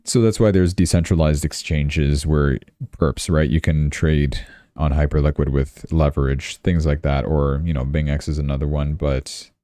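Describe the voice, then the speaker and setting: A man's voice: rumbling low, steady tone, normal speed, dark tone, dry recording, clean studio sound. A man hosting a livestream, giving casual, offhand and wry live commentary on crypto charts and news.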